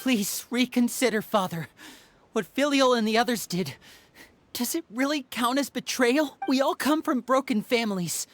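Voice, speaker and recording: A woman's voice: a little high, moderate pace, slight background noise, lightly acted. A woman voicing a young member of the house earnestly pleading for mercy in a game. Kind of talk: game voice acting.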